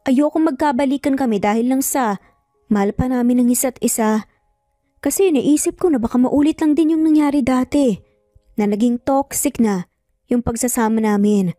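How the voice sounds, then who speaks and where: A woman's voice: sits high, moderate pace, dark tone, no room sound, studio quality, faint music behind, close to an everyday voice. A woman voicing a principled, determined female lawyer who speaks firmly and sincerely in an audio story. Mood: guarded, explaining.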